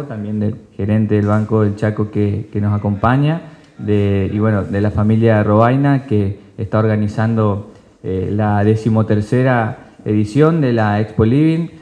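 A man's voice: lowish voice, phone-quality sound. A man, hosting a press conference with formal welcomes and frequent restarts. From Argentina. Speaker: chamber of commerce representative hosting. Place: indoor conference room. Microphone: desk mic on stand.

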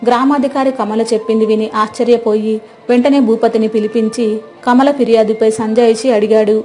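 A woman's voice: fairly high pitch, fairly even intonation, fairly quick, mellow, faint music behind. A woman narrating a scripted moral story as a storyteller.

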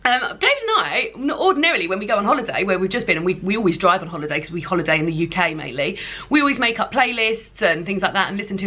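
A young woman with a British accent talks casually, as if to her viewers. Her voice is bright and forward at a medium pitch. She rambles in a gushing, emphatic way, in the style of an informal vlog. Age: young adult. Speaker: book vlogger. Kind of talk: casual chat to camera. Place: indoor room lined with bookshelves.

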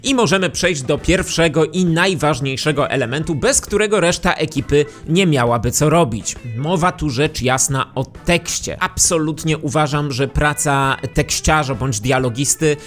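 A man in his thirties hosts a scripted vlog in a fairly high voice. He speaks close into a studio microphone, with faint music underneath. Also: moderate pace, few pauses, fairly bright tone. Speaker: YouTube host. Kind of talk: scripted vlog presentation to camera. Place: small decorated home studio.

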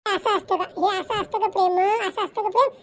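A man puts on a quarrelsome, jealous wife for a cartoon comedy. Her voice is extremely high and fast. She nags and repeats accusations in a rural Maharashtrian Marathi accent. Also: fairly even intonation, sharp tone. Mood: accusing. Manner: nagging, repetitive.

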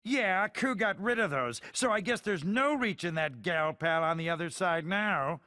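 High, sharp, jive-talking robot villain. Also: male voice, animated, normal speed, dry recording, clean studio sound. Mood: smug mocking. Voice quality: bright, sharp, high-pitched. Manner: hipster slang, breaks into jazz scat. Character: jive-talking, scatting, taunting robot assassin. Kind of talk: cartoon video game villain acting.

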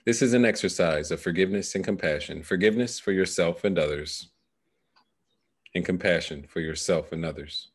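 A man with a low voice speaks as a coach. His delivery is measured and instructive, as in a guided meditation, recorded on a headset during a video call. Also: slightly rough. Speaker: coach guiding a client through a meditation process. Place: small indoor room, video call. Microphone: headset microphone.

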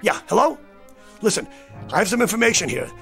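An American actor playing an agitated, cynical man who insists he has seen disaster coming, speaking in a blunt, slangy, pleading way with a gravelly voice. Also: high-pitched, very animated, fairly quick, fairly bright tone, soft background music, heavily acted. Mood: desperate. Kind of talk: dramatic screen acting.

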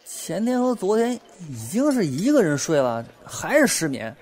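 A man's voice: high voice, big pitch swings, normal speed, darkish tone. A young man acting in an audio drama as an anxious, sleepless bookshop owner who hesitates and rambles as he confides in a friend. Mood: troubled, confessional. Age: young adult.

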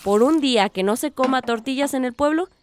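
An actress with a Mexican accent playing a bold, idealistic young daughter in a radio drama. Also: female voice, high-pitched, brisk, slight background noise, close to an everyday voice. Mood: eager, defiant.

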